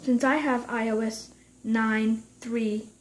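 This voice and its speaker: A high-voiced woman presents a software tutorial, explaining things step by step. The delivery is unscripted and halting, with phrases restarted along the way. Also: fairly even intonation.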